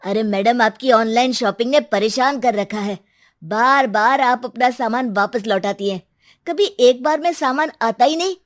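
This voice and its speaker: A woman's voice: on the high side, normal speed, natural voice. A woman voicing an irritable young delivery man in a family drama, complaining and exasperated. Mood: irritated.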